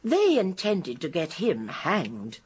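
A woman's voice: fairly low pitch, huge pitch swings, slow, a little mellow, husky, exaggerated voice. An actress playing a shrewd, genteel elderly English spinster detective in a radio drama, precise and emphatic as she explains. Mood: assured. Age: older. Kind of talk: British radio drama acting.

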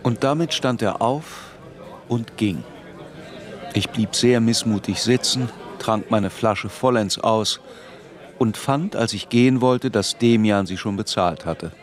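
A man's voice: lowish voice, slow pace. An actor narrating as a reflective, melancholy man looking back on his youth. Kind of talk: scripted first-person literary narration.

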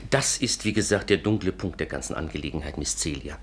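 An actor playing an anxious, courteous inventor in a 1950s German radio play, speaking formally and hesitantly in a husky, breathy voice. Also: male voice, rumbling low, fast, a little hiss or hum. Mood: anxious, grave. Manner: formal, hesitant, repeats a pet phrase.

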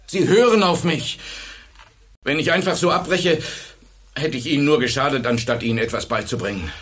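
A man's voice: medium pitch, animated, moderate pace, fairly bright tone, breathy edge. An actor playing a driven, commanding male schoolteacher with a dictator's streak in a German radio drama. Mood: subdued, resolute.

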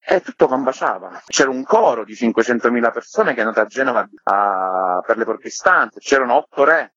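A man's voice: medium pitch, animated, fairly quick, fairly bright tone, dry recording, muffled recording. A man speaking in an earnest, explanatory way, as a theatre maker being interviewed. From Sicily, Italy. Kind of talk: thoughtful interview talk.

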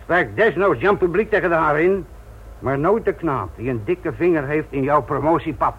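An actor playing a sardonic, gruff older police instructor in a Dutch radio play, patronising and deliberate in manner. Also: male voice, a little high, lively intonation. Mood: patronising, stern. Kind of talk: Dutch radio drama acting. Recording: thin, narrow-band old radio recording.

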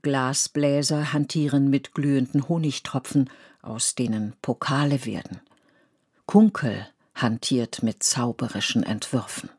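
Mid-pitched literary radio narrator. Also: steady tone, a little mellow, slightly rough. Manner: measured, poetic storytelling.

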